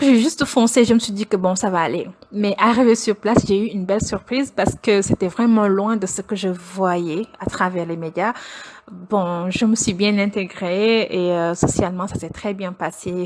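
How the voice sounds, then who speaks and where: A young woman from Senegal, a doctoral student, telling the story of her studies in an interview in an earnest, storytelling way. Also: medium pitch. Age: young adult. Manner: earnest, storytelling with light laughs. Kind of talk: personal account in an interview.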